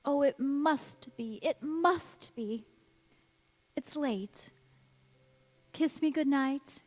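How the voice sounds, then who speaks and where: Woman playing high-voiced romantic young bride, echoey hall. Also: drawn-out words, a little mellow. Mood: anxious, romantic. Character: sweet, sentimental young bride-to-be, romantic and anxious. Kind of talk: golden age radio drama acting. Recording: echoey church hall stage recording.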